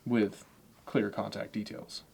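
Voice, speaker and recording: A man playing a smug, meticulous Manhattan investment banker in an ASMR roleplay, speaking in a measured, self-assured way. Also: lowish voice, flat delivery, gravelly, a little mellow, husky, full character voice. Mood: fastidious, appraising.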